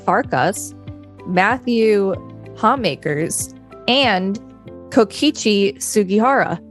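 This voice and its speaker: A woman with an average-pitched voice co-hosts a podcast. She reads informational article text aloud, with the odd short aside. Faint music sits behind her. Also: expressive, slow. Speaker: podcast co-host. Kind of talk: reading an encyclopedia article aloud with brief asides.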